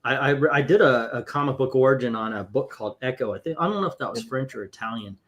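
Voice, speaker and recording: A man in his fifties hosting a casual comic book chat, wry and quick to mock clunky dialogue. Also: medium pitch, a bit bright, highs cut off. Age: 50s. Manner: wry, reads comic dialogue aloud mockingly. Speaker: comic book podcast host.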